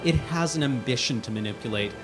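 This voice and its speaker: Animated man reading a scathing review. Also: mid-range voice, quick pace, over music. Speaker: guest reader on a charity livestream. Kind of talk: dramatic reading of a written film review.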